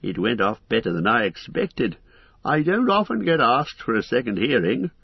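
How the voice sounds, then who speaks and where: Old hobbit poet, chatty and wry, brisk banter, read by a man; husky, dull dry audio. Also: mid-range voice, very animated, no echo, heavily acted.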